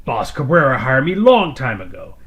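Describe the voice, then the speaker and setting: A man acts out a hulking alien thug from a space opera story, rough and raspy. He is slow-witted and proud, bragging about himself in blunt broken English. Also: medium pitch, sing-song, a bit bright, voice pushed up. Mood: dismissive. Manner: broken English, third-person boasting. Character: huge dim boastful male alien enforcer with spiked knuckles.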